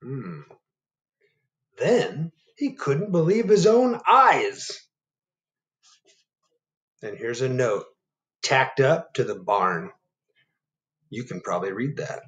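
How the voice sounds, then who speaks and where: Animated middle-aged man reading to kids. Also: medium pitch, slow pace, long pauses, reverberant. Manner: animated, asks the young audience questions. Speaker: teacher reading aloud. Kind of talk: children's storytime read-aloud. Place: home living room. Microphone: webcam at desk.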